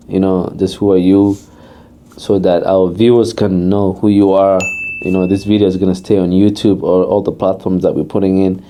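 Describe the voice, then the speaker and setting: A man's voice: low-pitched, mellow. A man hosting a podcast, asking his guest questions in a friendly, loose way with lots of fillers. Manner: friendly, loose, says you know a lot. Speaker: podcast host. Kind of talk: casual podcast interview.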